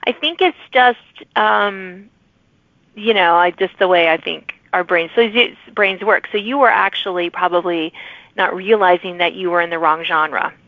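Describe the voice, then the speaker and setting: A woman's voice: fairly low pitch, moderate pace, dry recording, narrow, tinny audio. Chatty woman podcast host, band-limited sound. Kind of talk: casual interview chat.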